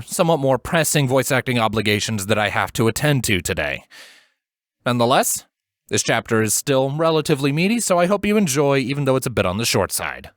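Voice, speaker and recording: A man narrating scripted fiction in a measured, expressive and articulate way. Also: mid-range voice, dry recording, clean studio sound. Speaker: fan fiction narrator and voice actor.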